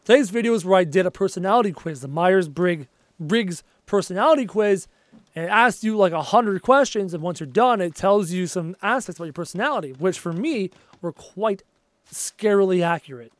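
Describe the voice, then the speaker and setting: High-voiced male streamer losing his voice. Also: normal speed, dry recording. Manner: chatty, reads out viewer messages, easily excited. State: losing his voice, low on energy. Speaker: game streamer. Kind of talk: casual live-stream chat with viewers. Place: at his desk at home.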